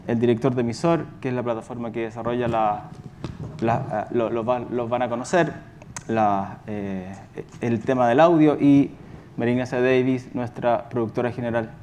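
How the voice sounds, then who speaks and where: A man with a Chilean accent giving an informal conference presentation as a company executive, halting and correcting himself as he goes. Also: medium pitch, fairly even intonation, darkish tone, a little hiss or hum.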